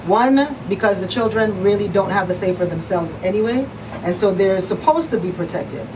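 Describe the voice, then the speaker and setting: A woman talk show host chats casually in a medium-pitched voice. She is picked up from a distance, with clear noise around her. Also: normal speed. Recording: distant mic, clearly noisy room.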